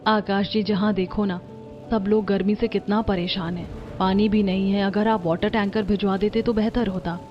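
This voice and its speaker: A woman's voice: mid-range voice, fairly even intonation, moderate pace, soft background music, close to an everyday voice. Earnest young daughter-in-law, acted by a woman, muffled sound. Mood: concerned. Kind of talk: cartoon story character acting.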